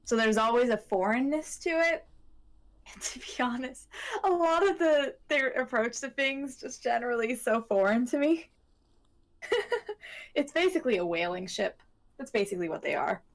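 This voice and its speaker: A woman's voice: a little high, lively intonation, normal speed. A woman in casual podcast chat, co-hosting with a hesitant, meandering, giggly way of talking. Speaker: podcast co-host.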